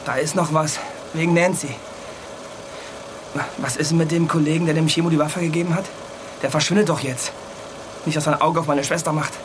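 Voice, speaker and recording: A man's voice: a little high, steady tone, a little fast, pauses often, natural voice. A man playing a worried, streetwise young petty offender in a radio drama, anxious, slangy and pleading. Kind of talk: German radio drama acting. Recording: engine and car noise behind.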